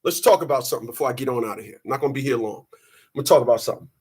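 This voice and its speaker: A man in his thirties, sweaty after a run, giving an animated, preachy monologue to his channel's viewers. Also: mid-range voice, very fast, slightly rough. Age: 30s. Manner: animated, preachy, mocking quoted voices. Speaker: channel host. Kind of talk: casual monologue to camera.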